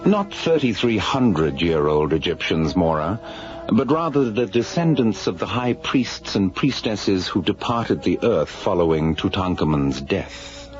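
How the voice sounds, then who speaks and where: Courtly scholar, deep formal voice. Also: male voice, lively intonation, lo-fi sound. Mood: courteous. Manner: formal, measured, erudite. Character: courtly older male scholar, learned and keen on bold theories. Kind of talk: radio drama acting. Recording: band-limited old radio drama, music behind.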